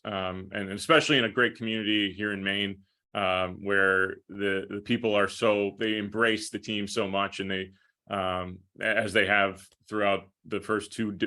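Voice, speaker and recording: Low, even-toned American man, bright voice. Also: normal speed. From Minnesota, United States. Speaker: podcast guest, hockey play-by-play broadcaster. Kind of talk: conversational interview answers.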